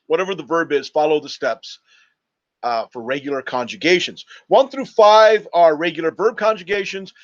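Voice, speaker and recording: A man's voice: fairly high pitch, moderate pace, dull audio. A man teaching a lesson over a screen share, explaining step by step in an instructive manner and spelling things out. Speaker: Spanish teacher.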